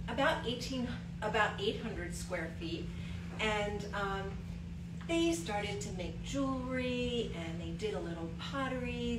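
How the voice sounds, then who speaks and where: A middle-aged woman giving an informal, earnest talk to an event audience, with many ums. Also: mid-range voice. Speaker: nonprofit program leader. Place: gallery room indoors. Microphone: far camera, no mic. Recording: roomy echo from far off, with room noise.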